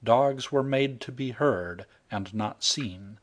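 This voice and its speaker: A man's voice: medium pitch, slow pace. A man voicing a stern mother dog giving her pup firm rules in a storybook reading. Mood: instructing.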